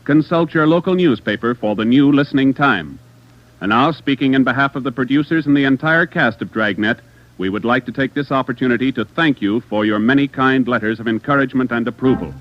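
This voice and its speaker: A man's voice: mid-range voice, brisk, no echo. A man announcing formally from a script in the style of a 1940s radio broadcast. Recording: muffled old radio broadcast with faint music.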